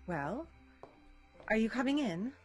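A woman's voice: fairly high pitch, big pitch swings, slow pace, faint music behind. An actress playing a teasing, direct young small-town woman in a radio drama, with wry, pointed questions.

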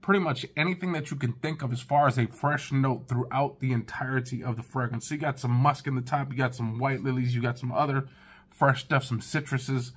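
A man's voice: mid-range voice, fairly even intonation, a little fast, husky. A man in his thirties giving a casual, chatty first-impressions review of fragrances from his living room, talking straight to his viewers. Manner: laid-back, chatty, talks straight to viewers.

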